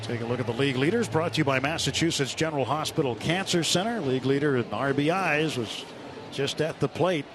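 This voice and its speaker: A man calling baseball play-by-play live on the radio in a measured, descriptive way. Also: medium pitch. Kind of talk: live sports commentary. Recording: clear stadium noise behind live broadcast. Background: outdoor ballpark ambience.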